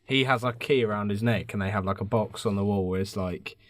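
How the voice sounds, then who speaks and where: A man's voice: on the low side, brisk. A man co-hosting a podcast, bantering casually with the others. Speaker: podcast host.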